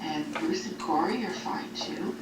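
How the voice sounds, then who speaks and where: A woman's voice: low-pitched, measured pace. A woman talking as if in an audio letter to a child, explaining things in simple phrases, recorded on cassette. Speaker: group home care worker. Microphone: cassette recorder at a distance. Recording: roomy, noisy cassette tape from a distance.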